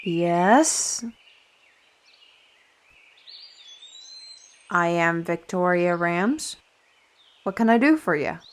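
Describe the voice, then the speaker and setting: A woman playing a feisty, proud fantasy artist in an audio roleplay, chatty and indignant, with theatrical, expressive delivery. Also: lowish voice, takes it slowly. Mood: surprised, wry.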